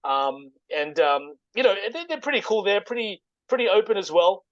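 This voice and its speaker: A man giving an informal, halting explanation as a guest presenter in casual conversation. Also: high voice, sing-song, no room sound, muffled recording. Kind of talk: informal project pitch, casual chat.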